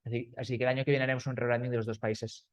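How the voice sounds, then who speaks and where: A man's voice: mid-range voice, little pitch movement, rapid-fire. A man from Spain, a startup founder speaking as a guest, talking loosely with fillers and restarts over a call. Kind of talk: conversational interview. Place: remote call.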